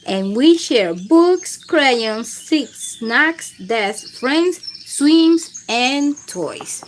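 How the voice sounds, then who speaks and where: A woman's voice: high-pitched, animated, drawn-out words, a little hiss or hum. A woman hosting a storytime, reading aloud to young children in simple phrasing and putting questions to her listeners. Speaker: children's storytime host and teacher.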